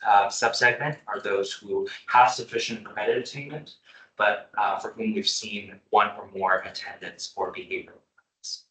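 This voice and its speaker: A man's voice: on the low side, pushed and bright. A man walking a meeting through research methods, methodical and full of fillers, recorded from across an echoey room. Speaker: research presenter. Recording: echoey room, mic far off.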